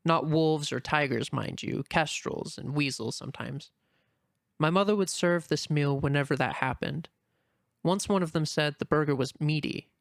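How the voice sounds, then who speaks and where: A man voicing a shy, earnest young female rabbit in an amateur dramatic reading, speaking softly and from the heart. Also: fairly high pitch, normal speed, vocal fry, no room sound, studio quality. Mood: warm. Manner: soft, heartfelt, anxious inner murmuring. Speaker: voice actor in a fan reading.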